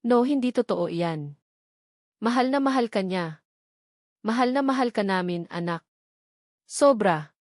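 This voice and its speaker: A woman's voice: medium pitch, lively intonation, unhurried, long pauses, dry recording, studio quality, close to an everyday voice. A woman with a Filipino accent voicing a devoted, anxious young mother in a narrated story. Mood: tender.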